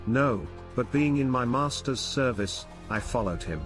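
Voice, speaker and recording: A man voicing a calm, loyal young manservant who speaks with formal, deferential politeness in an audiobook. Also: medium pitch, unhurried, soft background music.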